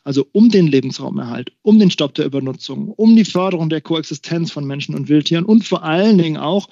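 A man's voice: fairly high pitch, fast, creaky, dark tone, no echo, highs cut off. A man, a species protection expert, listing points fluently in an interview soundbite.

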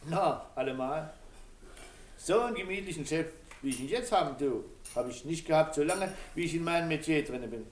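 An actor playing a cocky, vain, chatty waiter in a drama, with boastful, familiar dialect chatter. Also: male voice, on the high side, lively intonation, brisk, natural voice. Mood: smug, boastful. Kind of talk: stage-style radio drama dialogue. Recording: roomy, distant from the mic.

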